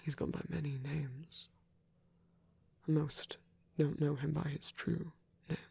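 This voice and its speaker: A man playing a gentle, shy vampire priest in an intimate ASMR roleplay, speaking in a husky half-whisper with a hesitant, apologetic manner. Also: on the high side, flat delivery. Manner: hesitant, halting, apologetic, rambling.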